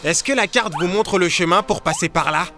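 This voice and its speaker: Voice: medium pitch, fairly quick, pushed and bright, soft background music, close to an everyday voice. A voice actor playing a timid, worried talking pet dog in a cartoon dub, nervous and questioning.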